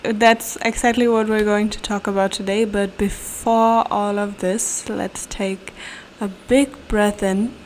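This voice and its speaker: A woman's voice: mid-range voice, level tone, slow pace, a little hiss or hum. A young woman with a German accent hosting a casual solo podcast, chatty and playful, giggling through her asides. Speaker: podcast host. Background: faint room ambience, cat nearby.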